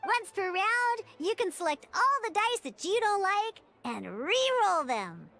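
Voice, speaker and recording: A woman playing a small, bubbly, childlike girl companion in a video game, with a squeaky voice and a perky, encouraging way of explaining. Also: very animated, unhurried, a bit bright, soft background music, full character voice. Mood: cheerful, instructive. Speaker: game tutorial guide. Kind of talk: animated video game character tutorial dialogue.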